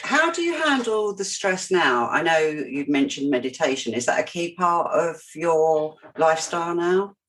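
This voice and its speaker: A woman hosting an interview over a video call, asking gentle, attentive questions and giving quiet backchannels. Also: deep voice, very animated, moderate pace, few pauses. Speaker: podcast host.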